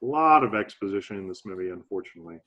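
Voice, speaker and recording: A man's voice: on the low side, animated, racing, background noise. A man co-hosting a movie podcast in casual chat, laid-back and hesitant, often restarting his sentences. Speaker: podcast co-host.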